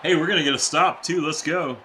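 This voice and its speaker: A young adult man, a teacher by day, giving casual, excitable live-stream commentary while playing a football video game and chatting with viewers. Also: on the high side, brisk, slight background noise. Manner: excitable, chatty, reacts to plays and reads chat aloud.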